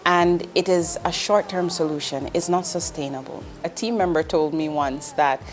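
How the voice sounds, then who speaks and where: A woman's voice: on the low side, moderate pace, faint music behind. A woman in her forties, from Jamaica, speaking as an investment executive in an interview, earnest and direct, with ums and restarts. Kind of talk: candid interview answers.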